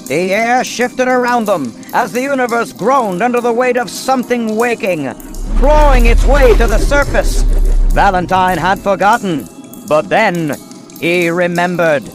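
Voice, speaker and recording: Radio mystery narrator: ominous, intense, raised pitch over music. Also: male voice, a little slow. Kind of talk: scripted dramatic radio narration.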